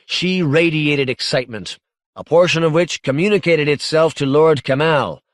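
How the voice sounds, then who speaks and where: A man reads literary science fiction in a measured, articulate way. His voice sits a little higher than most men's. The sound is dry, with hardly any room around it. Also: no echo. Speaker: audiobook narrator.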